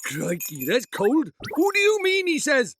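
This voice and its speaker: An actor voicing a small, cheeky, bossy fairy sidekick with a Welsh accent in a fantasy game. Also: male voice, very high voice, huge pitch swings, measured pace, fairly bright tone, slight background noise, exaggerated voice. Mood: wounded, indignant. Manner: brash, teasing, colloquial, theatrical. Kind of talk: animated fantasy game voice acting.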